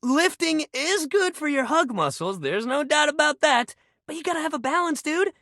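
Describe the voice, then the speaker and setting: A man's voice: extremely high pitch, sing-song, normal speed, pushed and bright, no echo, studio quality, full character voice. A man voicing an upbeat, supportive fitness coach in a video game, talking in encouraging, casual bro slang. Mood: mildly exasperated. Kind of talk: video game character voice lines.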